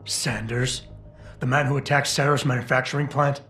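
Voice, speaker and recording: Male actor as tough game agent: curt, confrontational, mid-pitched, heard with echoing reverb over music. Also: steady tone, normal speed, fairly bright tone, natural voice. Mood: curt, cold. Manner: blunt, terse, accusing. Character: hard, confrontational male security operative, curt and distrustful. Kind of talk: dramatic video game acting. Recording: long echoing hall, music behind.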